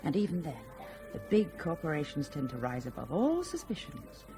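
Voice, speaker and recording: Sceptical journalist, lively intonation. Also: female voice, low-pitched, normal speed, over music. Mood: dryly ironic. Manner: dry, articulate, ironic. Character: sceptical, sharp-witted female journalist, coolly ironic. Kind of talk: radio drama acting.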